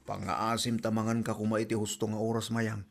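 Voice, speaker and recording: A man's voice: lowish voice, monotone, a little fast, creaky, soft background music. A man playing a nagging, exasperated village husband with an Ilocano accent in a comedy drama podcast. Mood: scolding.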